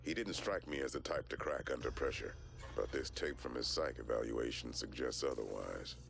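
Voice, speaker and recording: A man's voice: rumbling low, normal speed, creaky. A man playing a grim war veteran who gives scripted intelligence briefings in clipped military slang for a video game. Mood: grim, matter-of-fact. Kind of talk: scripted dossier briefing narration.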